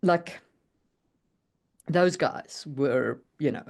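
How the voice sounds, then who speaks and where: A woman co-hosting a podcast, chatting casually about writing in an animated way and often checking for agreement. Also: low-pitched, drawn-out words, gravelly. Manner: animated, seeks agreement with "you know what I mean". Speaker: podcast co-host and writer.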